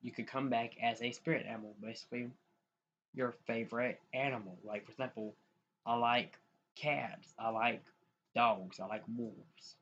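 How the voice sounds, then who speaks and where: A man's voice: mid-range voice, fairly even intonation, normal speed, a bit bright. A young man from the United States talks casually to his viewers while sharing his screen, rambling and restarting as he goes. He keeps calling them y'all. His voice comes through a dull, lo-fi mic with faint hiss behind it. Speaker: YouTuber. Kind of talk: casual screen-share vlog talk.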